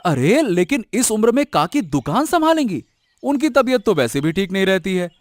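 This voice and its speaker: A man playing a curious, incredulous village man in a cartoon story, with a questioning, animated manner. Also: sits high, normal speed, no echo. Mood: urging. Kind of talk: scripted cartoon story acting. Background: music.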